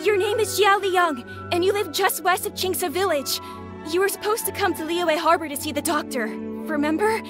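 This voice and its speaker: A woman's voice: very high-pitched, moderate pace, over music. A woman voicing an earnest young boy looking for his missing father in a video game. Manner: polite, earnest.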